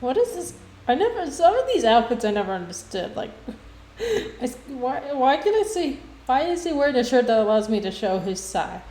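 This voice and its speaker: A woman's voice: high voice, sing-song, normal speed, dark tone. A woman game streamer giving casual live commentary in a roomy, echoey space.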